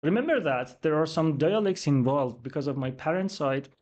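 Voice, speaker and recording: A man's voice: on the high side, expressive, a little mellow, lo-fi sound. Persian-accented man explaining his culture. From Iran. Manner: measured, explanatory, frequent "definitely" and "actually". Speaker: guest. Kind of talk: podcast interview, explaining culture.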